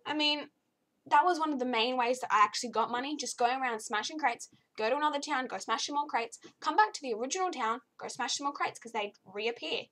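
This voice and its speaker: A young woman with a slightly croaky voice, giving a chatty, easily exasperated video game review with lots of fillers. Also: high-pitched, a little fast, bright, forceful tone. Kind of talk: casual spoken game review.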